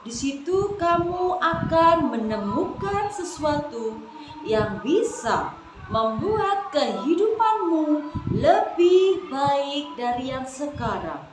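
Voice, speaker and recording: A woman voicing a kind old grandfather who appears in a dream, speaking in a soothing, gentle way, recorded in an echoey room with noise behind. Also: high-pitched, sing-song, takes it slowly, full character voice. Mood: calm.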